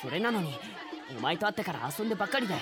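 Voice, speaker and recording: A woman's voice: lowish voice, racing, crowd noise. A woman playing a stubborn, proud young male cat samurai in training, speaking brusque boyish Japanese with catlike sounds in a comic radio drama. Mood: flustered, defiant. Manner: brusque, excitable rough boyish speech.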